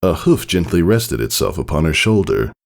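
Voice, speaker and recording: Deep, creaky-voiced man narrating a story. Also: sing-song, normal speed. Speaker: fan fiction reader.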